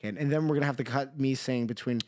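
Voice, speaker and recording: A young man on a casual podcast who sounds tired. He rambles, swears a lot and leans on filler words, and his pitch sits in an ordinary middle range. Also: fairly even intonation, racing, darkish tone. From Iran. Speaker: podcast co-host. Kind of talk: casual chat.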